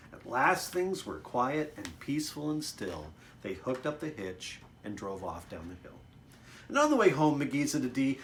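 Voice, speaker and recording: A man's voice: mid-range voice, slight background noise. A man, a children's author, reading a picture book aloud expressively, with chatty asides to young listeners.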